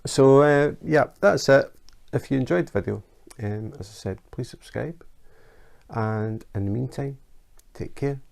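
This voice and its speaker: Gravelly, mellow Scottish man. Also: mid-range voice. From Scotland. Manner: chatty and unhurried, with fillers. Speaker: crafting channel host. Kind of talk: casual tutorial.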